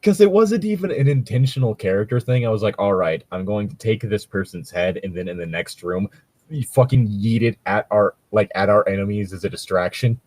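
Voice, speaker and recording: A man's voice: lowish voice, a little fast, dry recording. A man chatting casually and jokily about tabletop roleplaying, with some offhand swearing. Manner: animated, jokey, swears casually. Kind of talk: casual chat.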